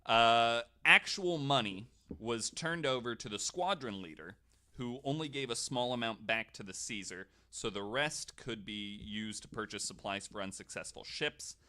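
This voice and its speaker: A man's voice: mid-range voice, expressive, moderate pace, pushed and bright. A man hosting a podcast, casually telling a story from notes with wry, jokey asides.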